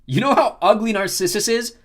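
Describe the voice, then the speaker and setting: A man's voice: high-pitched, big pitch swings, a little fast, fairly bright tone, pitched above the performer's own voice. A man voicing a cocky, hyperactive teenage boy in an audiobook, brash and swaggering as he shows off. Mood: boastful, mocking. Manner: swaggering, brash, exaggerated bragging. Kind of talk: audiobook character voice.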